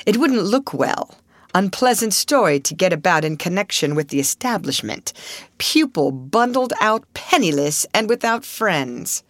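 A woman reader voicing a shrewd, unruffled man of business with a calm, faintly sinister manner. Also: on the low side, unhurried, no room sound. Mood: coolly knowing.

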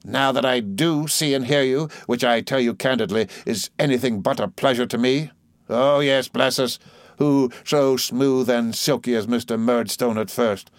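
A man's voice: mid-range voice, normal speed, no room sound, pitched above the performer's own voice, natural voice. A man voicing a fierce, blunt elderly aunt who scolds with indignation. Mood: scolding.

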